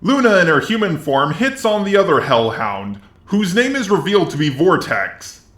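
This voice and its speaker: A man's voice: sits high, sing-song, moderate pace, a bit bright, reverberant, a little hiss or hum. A man's synthetic robot voice narrating a scripted review with sarcastic, profane asides. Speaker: video reviewer.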